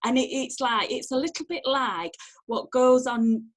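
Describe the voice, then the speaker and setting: High-voiced Sheffield woman coaching online. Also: measured pace. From Sheffield, England. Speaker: empowerment coach giving online networking training. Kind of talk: informal webinar talk. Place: at home on a video call. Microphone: video call, wearing headphones.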